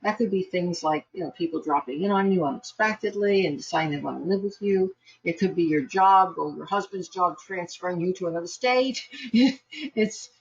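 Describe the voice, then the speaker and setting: A woman giving live psychic astrology readings online, chatty and quick to laugh, with frequent restarts. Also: lowish voice, breathy edge, dull audio.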